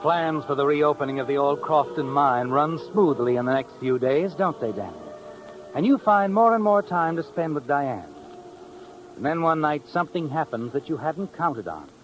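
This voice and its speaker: Male actor as 1950s radio crime narrator, slightly high male voice, ominous, insinuating, dramatic, prominent music underneath. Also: animated, normal speed, close to an everyday voice, poor audio. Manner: insinuating, dramatic, with leading rhetorical questions. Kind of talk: 1950s radio suspense narration in second person.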